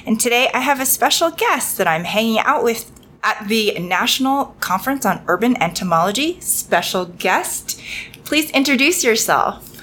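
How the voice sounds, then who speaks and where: Woman host with an animated, chatty delivery over a faint hiss, eager with her questions. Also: a little high, moderate pace. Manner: chatty, enthusiastic, asks lots of questions. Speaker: podcast host. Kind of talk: casual interview talk. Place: at a conference.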